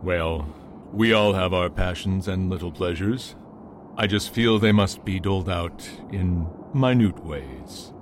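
Radio play confidant , male actor: deep pitch, kindly, measured, softly reproving, slight background noise. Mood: gently chiding. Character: kindly, moderate, teasing friend of priest. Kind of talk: amateur radio play acting.

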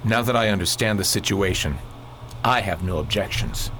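A man playing a steady, cautious engineer sidekick with clipped, level-headed speech in a space opera radio drama. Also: sits low, normal speed, a little hiss or hum. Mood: questioning.

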